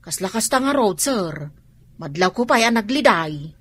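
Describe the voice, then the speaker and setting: A woman's voice: medium pitch, very animated, unhurried, fairly bright tone, slightly rough, full character voice. An actress playing a dutiful young housemaid who speaks respectfully to her employer. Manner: respectful, says sir. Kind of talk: Ilocano radio drama acting.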